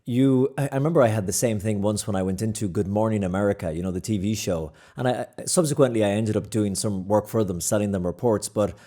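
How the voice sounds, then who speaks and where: Irish guy hosting a podcast at home, studio mic, quick rambly questions, lowish warm voice. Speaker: podcast host. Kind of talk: conversational interview. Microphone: studio mic on stand.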